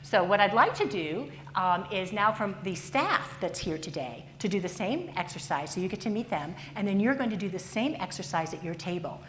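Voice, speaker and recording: A woman's voice: medium pitch, lively intonation, moderate pace, few pauses, fairly bright tone. A woman leading an icebreaker at a training conference, playful and teasing. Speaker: training session leader. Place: conference room. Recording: echoey conference hall with noise.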